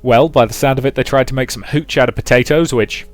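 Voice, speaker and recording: A man's voice: mid-range voice, fast, no echo, faint music behind. A man reading a visual novel aloud as narrator and host of a let's play.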